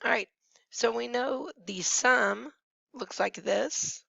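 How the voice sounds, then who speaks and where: A woman math teacher who has nearly lost her voice, hoarse and at times whispering, patiently talking through each step of a screencast lesson. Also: on the high side, slow pace, sharp tone, no room sound. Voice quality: hoarse, gravelly, sometimes dropping to a whisper. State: losing her voice. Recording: dry, dull lo-fi screencast audio.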